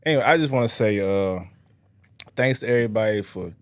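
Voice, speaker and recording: A man in his thirties co-hosting a podcast in relaxed, slangy casual chat, trailing off with fillers. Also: on the low side, a little fast, clear, resonant tone, dry recording. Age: 30s. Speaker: podcast co-host.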